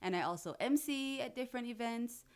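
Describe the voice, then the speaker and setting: A woman from Jamaica, a radio presenter, chatting as a guest in a studio interview, playful and quick to laugh. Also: fairly high pitch, expressive, clear, resonant tone.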